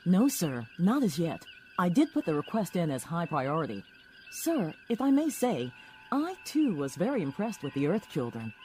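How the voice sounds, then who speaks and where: A man's voice: on the high side, wildly swooping pitch, normal speed, a little mellow, soft background music. A man playing an eager, cheeky starship communications lieutenant in an audio drama. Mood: dutiful.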